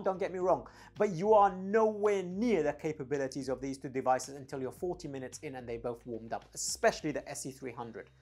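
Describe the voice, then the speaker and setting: Enthusiastic male gear reviewer, husky voice. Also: a little high. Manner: enthusiastic, emphatic. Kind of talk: casual product review to camera. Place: small home studio room, indoors. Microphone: lavalier clipped at the chest.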